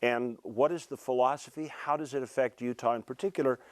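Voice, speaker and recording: A man's voice: medium pitch, fairly even intonation, a little fast, no room sound. An American man hosting an interview show, putting questions to his guest in a conversational style. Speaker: podcast host, interviewer.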